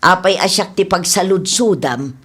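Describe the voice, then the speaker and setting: A woman's voice: lowish voice, lively intonation, moderate pace, slightly rough. A woman with an Ilocano accent playing an exasperated, outspoken village woman in a radio drama.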